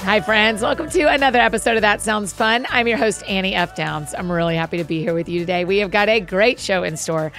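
Gravelly-voiced woman podcast host. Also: mid-range voice, quick pace, few pauses, fairly bright tone, soft background music. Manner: bubbly and chatty. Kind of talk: friendly podcast interview.

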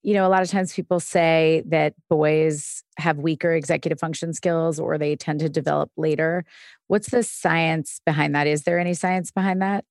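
A woman's voice: deep voice, fairly even intonation, normal speed, a little mellow, dry recording, clean studio sound. A woman hosting an interview podcast, asking questions and commenting in a casual, chatty way. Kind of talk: conversational interview.

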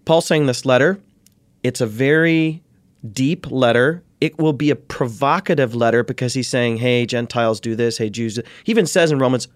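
Man explaining as a guest scholar, high-pitched-ish dry close sound. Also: normal speed, no echo. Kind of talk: explanatory interview conversation.

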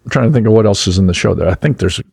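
A man's voice: on the low side, lively intonation, racing, creaky, a little mellow, slightly rough, dry recording, clean studio sound. A middle-aged man co-hosting a podcast in casual chat, dropping frequent yeah-yeah replies and laughing easily.

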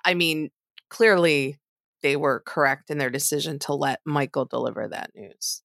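A woman's voice: low-pitched, normal speed, vocal fry, a bit bright, dry recording, studio quality. A woman co-hosting a podcast in casual chat, trailing off into asides and fillers as she talks. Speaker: podcast co-host.

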